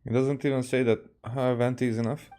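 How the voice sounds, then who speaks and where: A man from Hungary, a native Hungarian speaker, giving casual, halting game playthrough commentary as he thinks aloud. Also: medium pitch, flat delivery, warm tone. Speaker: game streamer.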